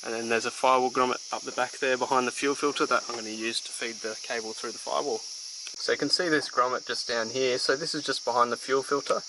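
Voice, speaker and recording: A man, casually explaining a radio install step by step while working, with relaxed pauses. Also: medium pitch, noisy background. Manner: relaxed, plain-spoken, frequent "uh" pauses. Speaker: DIY car install presenter. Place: outdoors beside and inside a vehicle.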